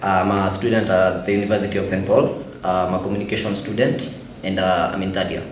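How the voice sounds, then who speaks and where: Young student guy, lowish voice, candid, roomy recording. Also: little pitch movement, brisk. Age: young adult. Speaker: student interviewee. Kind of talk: candid personal interview. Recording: roomy, clear background noise.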